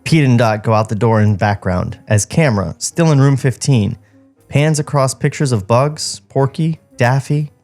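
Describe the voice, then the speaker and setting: A man's voice: mid-range voice, moderate pace, a little mellow, soft background music. A man co-hosting a podcast, reading stage directions aloud in a table read with joking asides.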